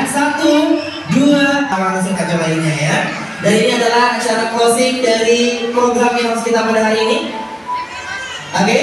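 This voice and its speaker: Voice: medium pitch, noisy background. Far-off echoing MC in a crowded hall. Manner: upbeat, counting out loud and giving cheerful instructions. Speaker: event MC at an aquarium. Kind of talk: MC directing a group photo session. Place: large indoor aquarium hall.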